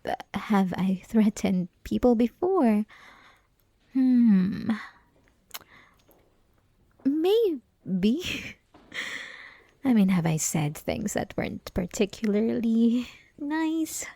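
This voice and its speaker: Yandere student played by a young woman: teasing, coy, sweetly possessive, slow breathy delivery with some vocal fry, intimate ASMR roleplay. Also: fairly high pitch, very animated, no room sound, heavily acted. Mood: evasive, playful. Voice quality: soft, breathy, often whispering. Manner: teasing, coy, giggly, lingering pauses. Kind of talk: ASMR roleplay, intimate one-sided conversation. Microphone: close ASMR microphone, dry.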